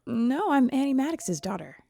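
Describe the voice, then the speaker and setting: A woman's voice: sits high, sing-song, normal speed, vocal fry, dark tone, exaggerated voice. An actress voicing a curious, impulsive computerized girl in an audio drama, asking eager, innocent questions. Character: curious computerized girl, two weeks old, teen-like, impulsive.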